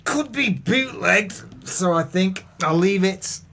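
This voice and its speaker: A crooked, grandiose swindler in an audio drama. His delivery is extremely slow and florid, with a heavy creak in the voice and a melodramatic manner. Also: sits high, expressive, bright, forceful tone, exaggerated voice. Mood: guarded. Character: crooked male swindler, mournfully grandiose and evasive. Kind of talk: audio play acting.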